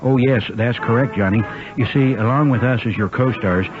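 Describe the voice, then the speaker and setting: A man's voice: medium pitch, normal speed, close to an everyday voice. A man playing a jovial talk-show sidekick announcer in a scripted comedy radio commercial. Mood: jovial pitchman. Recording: dull radio ad with music bed.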